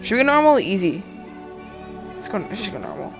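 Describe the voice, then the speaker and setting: A young man chatting casually while playing a game, excitable and crude, on a headset mic. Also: sits high, quick pace, vocal fry, music in the background. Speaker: gaming video host. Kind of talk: casual let's play commentary. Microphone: gaming headset.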